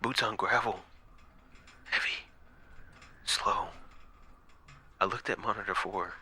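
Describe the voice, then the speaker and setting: Man acting a weary, sharp-edged night watchman. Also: fairly low pitch, steady tone, slightly rough, full character voice. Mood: hushed, afraid. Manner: halting, dramatic, breaking into whispers. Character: exhausted, frightened young night watchman recording desperate log entries. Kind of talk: first-person horror audio log narration.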